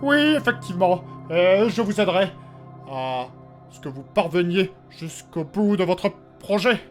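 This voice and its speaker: Halting male actor voice, slow, anxious subordinate, deferential, apologetic, fantasy drama, soft music behind. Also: high voice, lively intonation. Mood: servile. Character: nervous, deferential male subordinate eager to justify himself.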